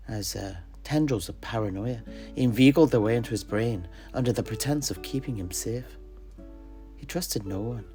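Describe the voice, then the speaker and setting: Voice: medium pitch, mellow. A storyteller narrating fictional history in character, in a grave, literary and dramatic manner. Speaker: storyteller of a fantasy lore show.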